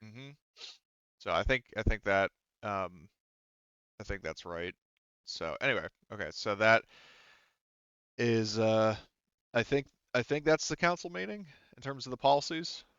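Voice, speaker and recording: Halting low-voiced man running a game. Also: expressive, a bit bright, clean tone, no room sound, highs cut off. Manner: halting, thinking aloud. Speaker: game master. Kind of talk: casual tabletop game narration and rules talk.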